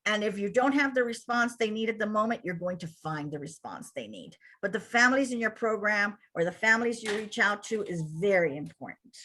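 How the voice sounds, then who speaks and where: Bright-voiced Cuban-accented woman presenter. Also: medium pitch, fairly quick, hardly any pauses. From Cuba. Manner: energetic, animated, speaks directly to attendees. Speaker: childcare center director. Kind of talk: webinar presentation.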